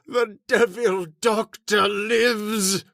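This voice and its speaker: A man's voice: sits high, steady tone, very slow, gravelly, fairly bright tone, no echo, studio quality, full character voice. An actor playing a fearful, pious old male servant with solemn, ominous warnings in an old-time radio horror play. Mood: dread. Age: older.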